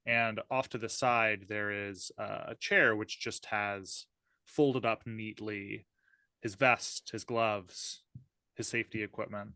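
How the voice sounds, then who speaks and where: A man's voice: on the low side, vocal fry, sharp tone, no room sound. A man improvising a scene description as a player in a tabletop roleplaying game.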